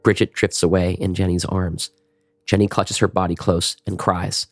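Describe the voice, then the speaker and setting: A man's voice: low-pitched, flat delivery, moderate pace, dry recording, clean studio sound, faint music behind. A man giving scripted narration for an action audio drama.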